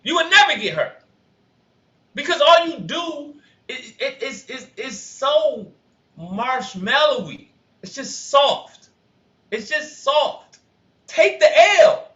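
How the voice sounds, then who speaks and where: A man co-hosting a casual podcast who says he has a high voice and a dumb laugh, talking in an animated way that rises to loud points. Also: slow. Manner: animated, laughs often, gets loud when making a point. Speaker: podcast co-host. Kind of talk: casual reaction podcast chat. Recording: roomy, dull lo-fi sound.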